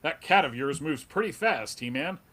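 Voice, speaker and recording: A man's voice: on the high side, expressive, moderate pace, a bit bright. A man playing a friendly, mildly wry superhero in plain everyday speech at a comic book table read. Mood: friendly, puzzled. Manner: plain modern conversational delivery, mildly wry. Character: polite, wry, square-jawed alien superhero in a comic.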